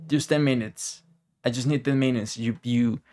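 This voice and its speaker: A man's voice: medium pitch, fairly even intonation, normal speed, darkish tone. A man giving casual live game commentary as a streamer, informal and sweary, muttering to himself and to the game. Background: game music.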